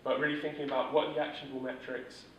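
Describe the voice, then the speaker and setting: A man leads a workshop in a loose, conversational teaching style with plenty of ums and restarts. His voice stays flat and even in pitch. It sounds caught by a distant mic in a very echoey room with a faint hiss underneath. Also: very fast, muffled recording. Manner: steady, monotone delivery with filler words. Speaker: workshop instructor. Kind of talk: informal teaching talk.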